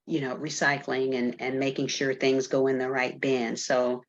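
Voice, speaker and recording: A woman with a low voice speaks earnestly and agreeably in a group discussion. Her audio is dull and muffled. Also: flat delivery, moderate pace. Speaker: meeting participant. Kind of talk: casual discussion.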